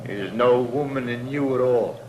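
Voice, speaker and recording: A man acting a shady frontier rancher who deals in stolen stock. He talks in rough, rustic American speech at a medium pitch, scornful throughout. It sounds like an old radio western with clear background noise. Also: measured pace, highs cut off, lightly acted. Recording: noisy old radio recording.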